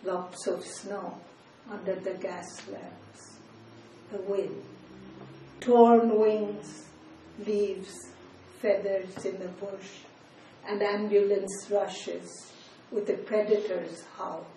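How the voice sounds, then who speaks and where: An older woman from India, whose first language is Bengali, reads her poems slowly, letting line endings fall away softly. Her voice is mellow and unhurried. She is heard from some distance in an echoey room, with a faint hum of ambience behind her. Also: medium pitch, steady tone. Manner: slow, measured reading with soft, trailing line endings. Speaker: poet. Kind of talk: poetry reading with spoken asides. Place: reading event in a room.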